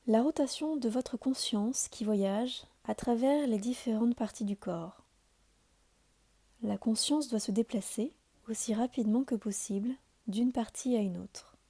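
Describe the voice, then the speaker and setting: A woman giving calm, soothing guided meditation instructions as a yoga nidra teacher, in a deliberate, measured delivery. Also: a little high, breathy edge.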